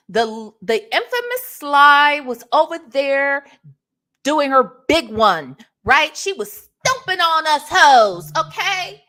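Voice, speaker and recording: Sassy woman doing online gossip commentary, kinda high voice that swings all over, takes her time, lots of slang and cussing. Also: bright, forceful tone. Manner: emphatic, punctuated with rhetorical check-ins. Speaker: online commentator hosting a reaction show.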